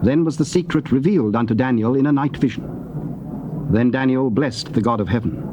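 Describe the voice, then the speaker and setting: Solemn male scripture narrator, noisy tape. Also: medium pitch. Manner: measured, formal, solemn. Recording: noisy old cassette.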